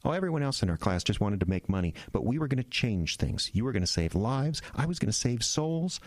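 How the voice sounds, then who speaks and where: An actor playing an earnest, anxious young small-town pastor in an audio drama, halting and self-deprecating. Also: male voice, medium pitch, very animated, no echo. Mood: troubled, earnest.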